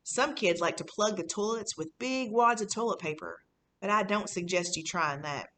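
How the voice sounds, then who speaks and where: A cocky fifth-grade boy played by a woman reader tells his own storybook tale in a casual, boastful way. He sounds sneaky and conspiratorial, at a middling pitch, with noticeable rumbly noise in the recording. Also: moderate pace, sharp tone, close to an everyday voice. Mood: cocky, mischievous. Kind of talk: first-person children's audiobook reading.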